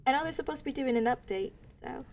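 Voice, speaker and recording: A woman's voice: sits high, animated, fast, vocal fry, darkish tone, a little hiss or hum. A young American woman streaming a game, chatting playfully with viewers and reading story text aloud. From United States. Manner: chatty, playful, talks to chat. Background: game music.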